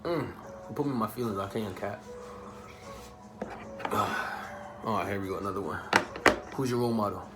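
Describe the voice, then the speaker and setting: A man's voice: fairly low pitch, normal speed, pauses often, over loud music. A young man from the Bahamas answering viewer questions in a casual, joking, slang-filled vlog chat. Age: young adult. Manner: laid-back, joking, streetwise slang.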